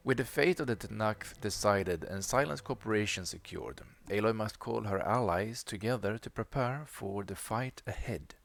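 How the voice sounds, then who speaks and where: Low, gravelly male gameplay commentator. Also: normal speed, few pauses. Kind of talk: casual game commentary.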